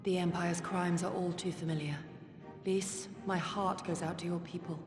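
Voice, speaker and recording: An actress playing a courteous, loyal female resistance agent in a video game, speaking measured, formal, archaic English. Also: fairly low pitch, level tone, close to an everyday voice. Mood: sincere. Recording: echoey hall reverb under clear music.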